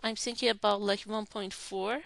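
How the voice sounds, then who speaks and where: Bright-voiced woman teaching a software tutorial. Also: medium pitch, steady tone, normal speed, creaky, dry recording. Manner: step-by-step, talks while clicking, thinking aloud. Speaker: instructor. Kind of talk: screen-recorded software tutorial.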